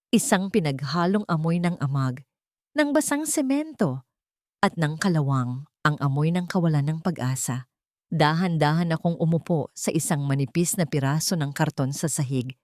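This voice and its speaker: A woman narrates a dramatic first-person story. Her voice sits on the low side and she delivers the script in measured, short phrases. The recording is close and dry, with very little room sound. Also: darkish tone, dry recording.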